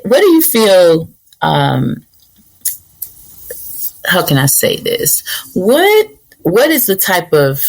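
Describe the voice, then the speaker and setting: Woman host, very low voice, encouraging, affirming. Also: poor audio. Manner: encouraging, lots of affirming backchannel. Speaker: interview host. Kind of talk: interview questions.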